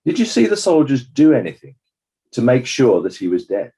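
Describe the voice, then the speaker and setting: A man playing a formal, probing examiner putting deliberate questions in a courtroom drama. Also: medium pitch, sing-song, dark tone. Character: formal, probing male examiner at a legal inquest.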